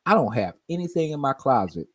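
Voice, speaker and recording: A man's voice: medium pitch, sing-song, quick pace, slightly rough. A man in his forties telling stories in casual chat, often asking "you know what I mean?". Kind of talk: casual chat on a live stream.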